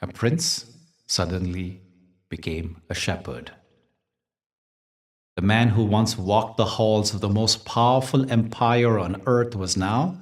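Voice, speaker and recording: Very deep-voiced man guiding a meditation. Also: distant mic. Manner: gentle, deliberate, soothing delivery with dramatic pauses. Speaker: meditation guide and host. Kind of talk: guided meditation talk with storytelling.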